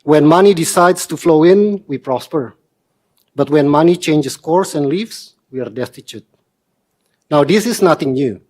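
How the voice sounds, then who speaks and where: A man in his forties from Indonesia, speaking earnestly in a measured, slightly formal way as a member of the public addressing a council meeting. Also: on the high side, animated, a little mellow. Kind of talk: prepared public statement.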